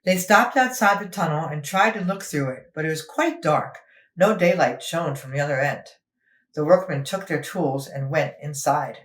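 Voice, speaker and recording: A woman's voice: deep voice, normal speed, reverberant. A woman storyteller narrating a children's book expressively, as if for young listeners.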